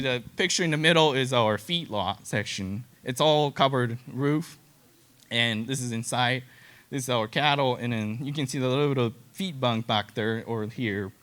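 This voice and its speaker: A man with a Japanese accent speaks in a bright, forward voice at a middling pitch. He gives an informal slide presentation as a specialist, in simple, halting non-native English. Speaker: cattle genetics specialist, presenter.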